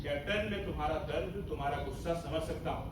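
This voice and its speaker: A man's voice: fairly high pitch, quick pace, over music, natural voice. A man playing a principled, commanding army major in a theatrical stage play, emphatic and mixing in English orders. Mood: calm, firm. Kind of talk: theatrical Hindi stage acting. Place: stage of a hall at a student festival. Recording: echoey hall, far mic, faint noise.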